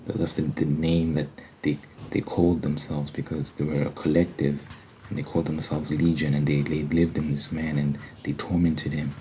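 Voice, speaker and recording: A man's voice: a bass voice, little pitch movement, moderate pace, dark tone, a little hiss or hum. A man giving an informal, reflective ASMR religious monologue, thinking aloud as he explains scripture. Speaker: host of an ASMR Bible study. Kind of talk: ASMR religious monologue, conversational Bible commentary.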